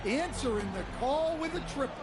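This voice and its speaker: A man voicing an excitable basketball analyst doing color commentary in a video game. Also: very high-pitched, a little fast, loud music behind the voice. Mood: admiring. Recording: heavy game arena noise.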